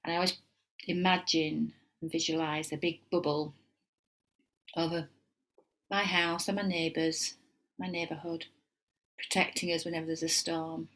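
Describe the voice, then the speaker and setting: A woman's voice: sits low, little pitch movement, moderate pace, pauses often, fairly bright tone. A middle-aged woman with a Yorkshire accent, giving a casual tarot reading at home and wandering into chatty asides. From England. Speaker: tarot reader.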